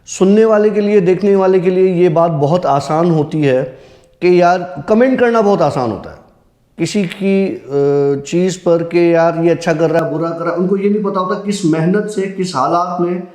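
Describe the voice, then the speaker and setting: Man, soft mellow tone, moderately high pitch, serious conversational storyteller. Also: normal speed. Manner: earnest, serious. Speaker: storyteller guest on a horror story show.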